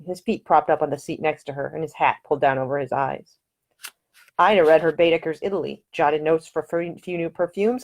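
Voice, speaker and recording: Low-voiced woman reading her novel. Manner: measured, expressive storytelling. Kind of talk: audiobook narration.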